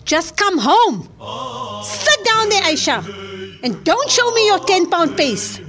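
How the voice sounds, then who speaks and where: A scolding, exasperated mother played by a woman in a radio drama. Her voice is high and swings widely in pitch as she lectures. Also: big pitch swings, slow pace, over music, exaggerated voice. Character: stern scolding middle-aged mother. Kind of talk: audio drama acting.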